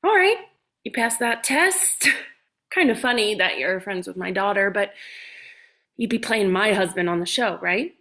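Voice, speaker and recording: A woman playing an imperious, sharp-tongued older comedy star, snarky and commanding, in a comedy table read. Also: mid-range voice, animated, a little slow. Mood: teasing, testing.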